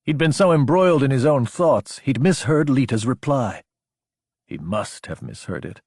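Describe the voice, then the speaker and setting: A man's voice: mid-range voice, animated, moderate pace, a little mellow, husky, no room sound, clean studio sound. A man narrating scripted dramatic fiction as an audiobook narrator.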